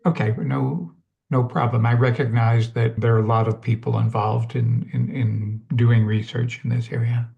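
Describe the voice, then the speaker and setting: A man from Switzerland, a webinar participant, putting his points carefully with halting phrasing. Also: mid-range voice, level tone, gravelly, highs cut off. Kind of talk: asking questions in a webinar. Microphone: video call.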